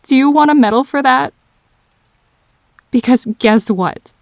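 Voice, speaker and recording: A high-voiced actress as a grieving girlfriend in an audio drama, wounded and defensive. She takes her time, pausing between halting phrases, in a very dry, close recording. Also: dry recording. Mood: bitter, sarcastic. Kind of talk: emotional audio drama acting.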